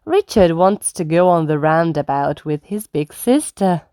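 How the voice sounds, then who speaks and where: A woman reading a children's story aloud in an animated manner aimed at young children. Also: deep voice, unhurried, clear, resonant tone, no room sound, studio quality.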